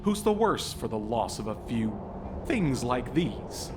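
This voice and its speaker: A man as a lively, hard-hearted scavenger. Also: medium pitch, slow, over music. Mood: smug. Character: hard-hearted Victorian scavenger, self-justifying. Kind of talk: theatrical audio drama acting.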